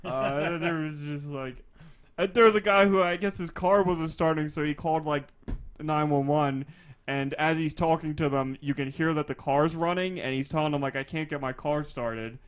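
Higher-pitched guy, giggly podcast co-host. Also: normal speed, clean tone. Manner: giggly, breaks into laughter while reading aloud. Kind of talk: casual comedy chat, retelling news stories.

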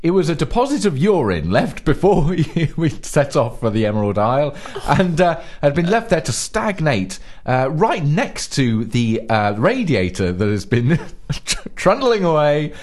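A man's voice: on the high side, big pitch swings, unhurried, few pauses, a little mellow. A man co-hosting a comedy podcast, telling rambling stories and breaking into giggles. His voice swings widely up and down as he talks. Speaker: podcast co-host. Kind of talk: casual comedic chat.

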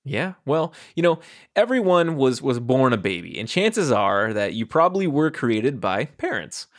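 A man hosting a casual podcast, jokey and animated, laughing often. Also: medium pitch, normal speed, no room sound, studio quality. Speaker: podcast host.

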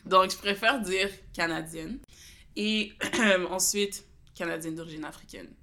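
A woman's voice: fairly low pitch, measured pace, sharp tone. Blunt, slangy woman. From Quebec. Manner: blunt, slangy, mixes in English words. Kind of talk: casual podcast chat.

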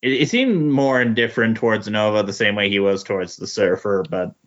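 A man's voice: on the low side, fairly quick. A man chatting casually as a podcast guest, hesitant and often trailing off, on a dull, lo-fi recording. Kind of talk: casual chat. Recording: dull, lo-fi sound.

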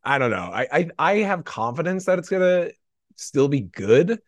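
A man chatting casually as a podcast guest in a rambling, animated, slangy way. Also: on the high side, moderate pace, no echo. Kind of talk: casual chat.

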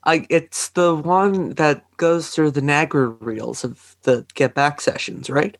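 A man with a slightly hoarse voice chatting casually on a podcast, giving brief, wry, laid-back replies. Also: on the high side, steady tone, takes it slowly, no room sound, studio quality. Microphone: microphone on a boom arm.

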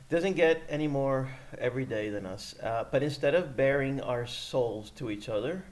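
A man's voice: mid-range voice, animated, a little slow, a little mellow. A man co-hosting a casual podcast chat, easygoing and joking. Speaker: podcast co-host.

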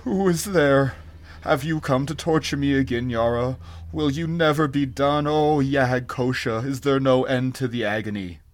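A man's voice: mid-range voice, moderate pace, natural voice. A man voicing an ancient blind, tortured alien creature from a fantasy story, sorrowful and solemn, in grave archaic speech. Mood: anguished, pleading.

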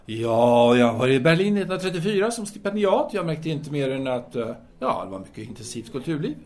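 An actor playing a candid, self-assured male French intellectual in an audio drama, speaking formally and deliberately with hesitant pauses. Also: mid-range voice, sing-song, close to an everyday voice. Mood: casual, explanatory.

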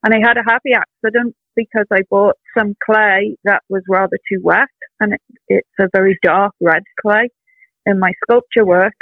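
An older woman artist talking in a casual interview, reflective and earnest, pausing with soft ums, heard over a phone call. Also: mid-range voice, steady tone, dry recording. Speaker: artist guest. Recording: tinny dry phone line.

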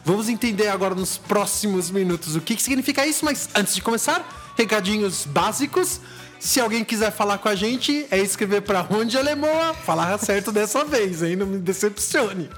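A man's voice: sits high, expressive, moderate pace, a bit bright, soft background music. Playful man hosting a podcast. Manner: playful, teasing. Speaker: podcast host. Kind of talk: casual podcast chat.

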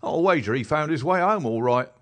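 A rough constable , put on by a man reading the book, talks loud and raised in pitch, full of grievance and rustic grammar. His voice swings a lot as he tells his tale, in every line. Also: expressive, a little fast. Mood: indignant. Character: rough working-class male London police constable, defensive. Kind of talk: audiobook character voice.

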